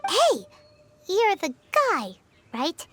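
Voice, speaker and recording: A woman voicing a tiny, childlike, excitable fairy companion in a video game, squeaky and expressive, with music behind her. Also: drawn-out words, full character voice. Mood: puzzled. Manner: expressive, exclamatory.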